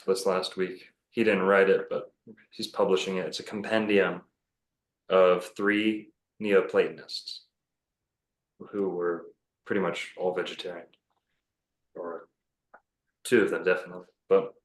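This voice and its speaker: A man's voice: deep voice, reverberant. A man talking casually as a podcast guest, rambling and self-assured with many fillers and restarts. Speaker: podcast guest, bookstore owner.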